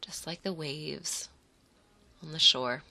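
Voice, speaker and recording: Low-pitched, creaky-voiced woman guiding meditation. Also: fairly even intonation, bright, forceful tone. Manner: soothing, unhurried, with short phrases and pauses. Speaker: guided relaxation narrator. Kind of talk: guided imagery meditation.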